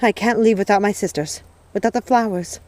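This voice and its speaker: A woman's voice: medium pitch, fairly quick, a little mellow, lightly acted. Woman playing a pleading young witch, storybook heroine. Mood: horrified, anxious. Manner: pleading, emotional.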